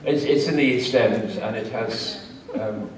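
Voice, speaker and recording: A man's voice: mid-range voice, measured pace, a little hiss or hum. An older English man, a panel guest, chatting in a rambling, self-mocking way at a convention. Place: convention hall, audience present. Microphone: handheld mic, far camera. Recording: echoey hall from far off.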